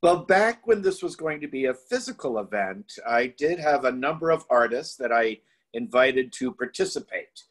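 A man's voice: medium pitch, expressive, moderate pace. A middle-aged man hosting a virtual award event from a home study, friendly and easygoing, speaking into a laptop mic. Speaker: event host. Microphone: laptop video call.

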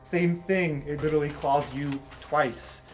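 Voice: mid-range voice, a little slow, background noise. A game master narrating an improvised tabletop fantasy game in a casual, informal way. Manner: casual, informal, swearing freely. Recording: music under the talk. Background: music.

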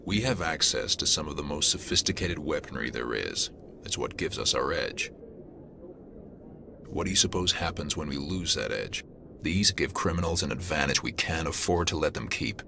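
An actor playing a gruff, pragmatic male alien detective in a video game cutscene, blunt and businesslike. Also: a bass voice, animated, moderate pace, background noise, full character voice. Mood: stern. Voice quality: gravelly. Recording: game audio with loud score.

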